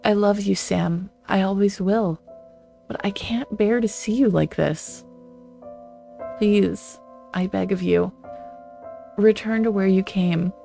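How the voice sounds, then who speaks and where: A woman's voice: medium pitch, fairly even intonation, slow, gravelly, pauses often, dark tone, faint music behind, pitched above the performer's own voice. A woman voicing a grieving young wife, tearful and pleading behind a closed door.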